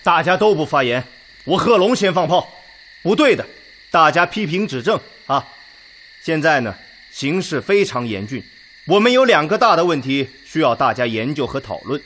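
Blunt Red Army general, animated. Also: male voice, a little high, moderate pace, a little hiss or hum. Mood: frank, serious. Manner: forthright, commanding, addressing a meeting. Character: blunt, decisive Red Army general chairing a war council. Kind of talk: radio drama acting.

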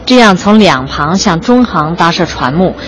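Lowish-voiced woman guide amid site noise. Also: lively intonation, moderate pace. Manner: explanatory, pointing things out step by step. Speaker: museum guide. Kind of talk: unscripted guided-tour explanation. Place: on location at an exhibition site. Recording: clearly noisy on-site ambience.

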